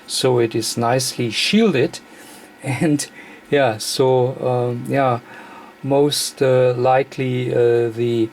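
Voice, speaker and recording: A man, non-native in English, hosts a hands-on electronics demonstration. He talks slowly at a middle pitch, breaking sentences into short, hesitant pieces. Some steady background noise sits under his voice. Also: creaky. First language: not English. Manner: halting, hesitant phrasing in short broken chunks. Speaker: host of electronics repair demonstrations. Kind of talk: hands-on technical demonstration, unscripted. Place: indoor electronics workbench.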